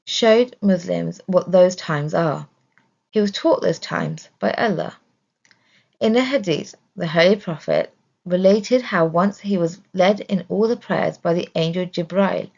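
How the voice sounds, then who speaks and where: A woman narrates a scripted religious study. Her voice sits on the low side and often creaks into fry. The recording is dull and lo-fi. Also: a little mellow. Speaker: reader of religious study text.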